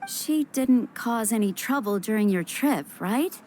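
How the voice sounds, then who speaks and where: A woman's voice: on the high side, lively intonation, measured pace, a little mellow, slight background noise. A woman playing a guarded but caring young healer in an anime-style game. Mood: earnest, explaining. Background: game music.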